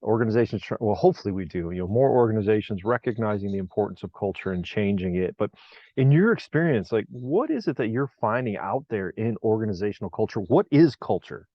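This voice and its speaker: A man's voice: on the low side, expressive, fast talker, no echo. A man hosting a podcast, interviewing in a conversational style. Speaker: podcast host. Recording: dull, lo-fi close recording.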